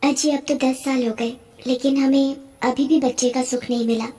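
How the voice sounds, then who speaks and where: A dutiful pregnant nurse from a cartoon story, acted by a woman. Her voice is high and earnest. She speaks with open emotion and firm conviction. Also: steady tone, moderate pace, dark tone, close to an everyday voice. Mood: sad. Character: dutiful, distressed pregnant nurse, pleading and determined. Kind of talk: Hindi cartoon story dialogue.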